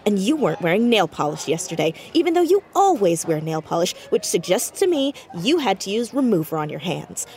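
Sharp, animated teenage girl detective. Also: medium pitch, a little fast, few pauses. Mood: pointed, accusing. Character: sharp, nosy fourteen-year-old girl amateur detective in a small town. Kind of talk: naturalistic audio drama acting.

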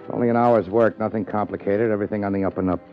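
Man acting guarded shady American tough guy, deep voice, blunt, 1950s radio drama, muffled recording, faint music. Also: steady tone, racing, close to an everyday voice. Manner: terse, blunt. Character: guarded, tough male client with shady business.

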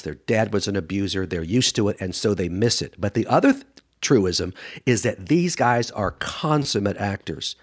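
A man speaking as a guest expert in an interview, answering conversationally in a blunt, emphatic way and acting out what others say. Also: fairly low pitch. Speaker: guest expert doctor and author on a radio show.

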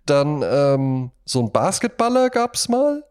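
A man's voice: on the high side, very animated, slow, darkish tone, clear, resonant tone. A man co-hosting a podcast, talking informally and conversationally and often seeking agreement. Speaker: podcast co-host. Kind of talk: casual podcast chat.

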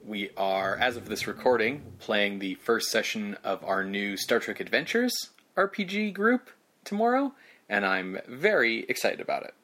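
A man's voice: on the low side, expressive, normal speed, pushed and bright. A man co-hosting a casual podcast, talking in an easygoing way with frequent hesitant fillers. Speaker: podcast co-host.

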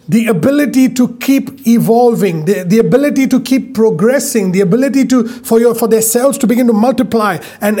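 Male preacher, high husky voice, emphatic, stretches words for weight. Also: mellow. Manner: emphatic, repeats phrases, stretches key words slowly. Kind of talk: sermon.

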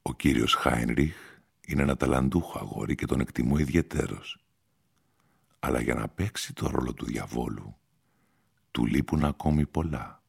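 A man voicing a mysterious, mocking older stranger, a devil in disguise, in a radio story, speaking slowly and condescendingly. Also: rumbling low, creaky, husky, pitched below the performer's own voice, exaggerated voice. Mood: coolly condescending. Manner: slow, deliberate, condescending.

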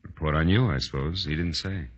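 A tough, world-weary private eye speaks in a deep, forceful voice with sardonic, clipped lines. It sounds like a 1940s radio detective show, dry and dull in the old recording. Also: male voice, fairly quick, breathy edge, no echo. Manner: sardonic, hard-boiled, clipped. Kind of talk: 1940s radio detective acting, first-person narration.

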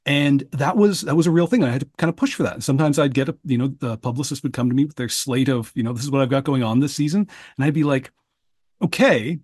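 A man's voice: medium pitch, a little mellow, slightly rough, no room sound, studio quality. A man, co-hosting and producing a book podcast, talking casually with frequent restarts and fillers. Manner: halting, many restarts and fillers.

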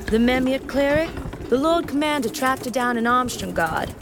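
A woman's voice: sits high, lively intonation, normal speed, noisy background. A woman playing an exasperated, formal officer in an audio drama, articulate and wry with clipped politeness.

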